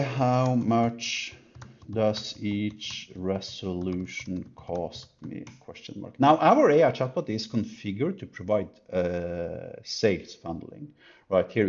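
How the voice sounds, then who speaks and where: A man speaks in a low, very mellow voice as he talks through an unscripted product demo. He is emphatic, halting now and then to think aloud. The recording sounds slightly dull and lo-fi. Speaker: company presenter comparing products.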